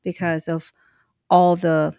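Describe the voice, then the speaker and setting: A woman from El Salvador hosts in a personal, conversational way. Her voice sits on the low side with a lot of creak, recorded dry with little room sound. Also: little pitch movement, slow pace, warm tone, dry recording. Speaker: show host. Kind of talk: personal, conversational interview talk.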